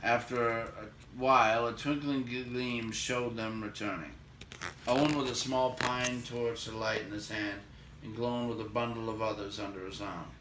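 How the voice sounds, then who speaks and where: A man's voice: mid-range voice, fairly even intonation, normal speed. Man reading a story in an echoey room. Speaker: storybook reader. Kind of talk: expressive read-aloud narration of a fantasy novel. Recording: echoey room, distant mic, faint noise.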